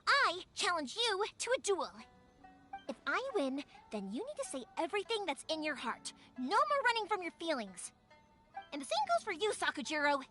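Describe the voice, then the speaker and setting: A woman's voice: very high voice, big pitch swings, moderate pace, sharp tone, faint music behind, heavily acted. A woman voicing a spirited, headstrong young fireworks maker in a video game, emphatic and impassioned. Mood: defiant, resolute. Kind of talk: English video game voice acting.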